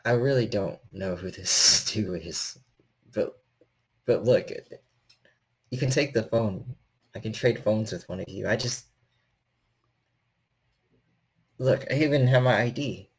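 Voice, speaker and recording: A man's voice: lowish voice, unhurried, breathy edge. A man playing a nervous, weary repairman in a tabletop game, cautious and helpful, speaking in hesitant stammers. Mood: bewildered.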